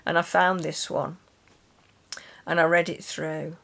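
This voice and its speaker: Woman talking books and poems, lowish voice, really slow pace. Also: flat delivery, creaky, a bit bright. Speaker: guest reader on a literature chat channel. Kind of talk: casual book chat and poetry reading.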